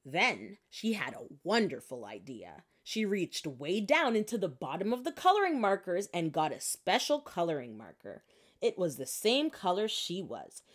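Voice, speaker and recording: A woman reading a children's picture book aloud in an expressive narrating style. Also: fairly low pitch. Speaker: storybook reader.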